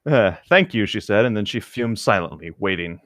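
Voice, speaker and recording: A man's voice: lowish voice, lively intonation, normal speed, fairly bright tone, no echo, clean studio sound. A man co-hosting a read-along, reading from a novel and breaking off for wry, casual asides. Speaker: co-host of a group read-along show. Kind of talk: casual chat mixed with reading a novel aloud.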